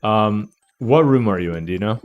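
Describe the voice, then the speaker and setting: Lower-voiced, matter-of-fact man over music. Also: lively intonation, moderate pace, clean tone, dull audio. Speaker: livestream co-host. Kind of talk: casual chat. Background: faint game music.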